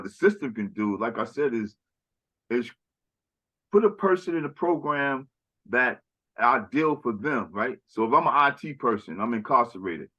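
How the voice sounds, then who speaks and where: A man's voice: medium pitch, pushed and bright, dull audio. A man speaking in a casual conversational chat as a podcast guest, rambling and often tagging "right?" and "you know".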